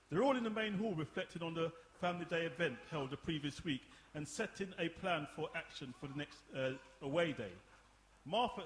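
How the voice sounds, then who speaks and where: A man's voice: fairly high pitch, a bit bright. Man as drama narrator, reading stage directions in a raised register, live with slight noise. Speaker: narrator of a radio play.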